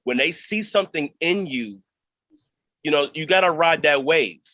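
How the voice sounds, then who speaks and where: Man, bright forceful voice, dry close sound, reflective actor interview. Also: fairly high pitch, lively intonation, moderate pace, no room sound. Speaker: stage actor, interview guest.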